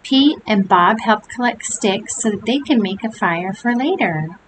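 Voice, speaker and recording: An older woman reading a picture book aloud to young children, with gentle chatty asides. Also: mid-range voice, a little slow. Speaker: storybook reader. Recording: home recording with steady hiss.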